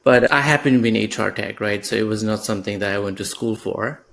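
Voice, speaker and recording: A man's voice: lowish voice, fast talker, gravelly, fairly bright tone. A man in his thirties from Nepal, a podcast guest talking through his career with lots of fillers and restarts. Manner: reflective, many fillers and restarts. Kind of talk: podcast interview.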